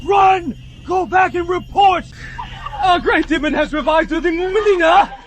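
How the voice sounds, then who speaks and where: An actor voicing a ruthless, gleeful great demon in an anime dub, with drawn-out menace and shouted commands. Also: male voice, very high voice, faint music behind. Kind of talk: dubbed anime motion comic acting.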